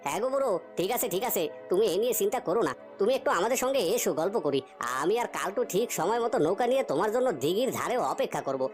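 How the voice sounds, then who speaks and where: A woman voicing an anxious, talkative village fisherman in a cartoon story, with a hurried, fussing manner. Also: medium pitch, big pitch swings, darkish tone, soft background music, close to an everyday voice. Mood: friendly.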